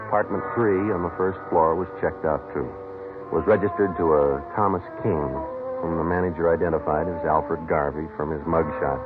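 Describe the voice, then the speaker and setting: An actor playing a terse, stoic police sergeant in a 1950s radio crime drama, speaking in a clipped deadpan. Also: male voice, low voice, normal speed, lightly acted. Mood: flat, matter-of-fact. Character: terse, stoic male police detective sergeant narrating his case. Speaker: lead actor and narrator. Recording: muffled vintage radio recording with music behind.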